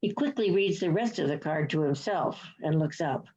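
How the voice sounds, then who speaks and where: A woman's voice: sits low, animated, normal speed, darkish tone, husky. A woman reading stage directions as scripted narration, on a dull lo-fi recording. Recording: dull, muffled lo-fi sound.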